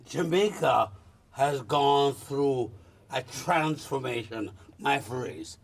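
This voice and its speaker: A man's voice: medium pitch, expressive, slow, distant mic. A man hosting an interview, asking probing questions with emphatic phrasing. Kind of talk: casual interview.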